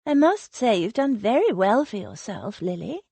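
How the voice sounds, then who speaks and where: A woman's voice: mid-range voice, very animated, moderate pace, gravelly, mellow, breathy edge, no echo, heavily acted. A woman voicing an anxious, effusive young society wife who pleads and gushes. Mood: apologetic.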